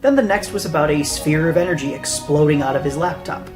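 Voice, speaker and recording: A woman with a slightly low voice narrates behind the scenes as a filmmaker, in a prepared explanatory style. Music plays clearly behind the voice. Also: fairly quick. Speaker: writer and filmmaker. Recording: voice over clear background music.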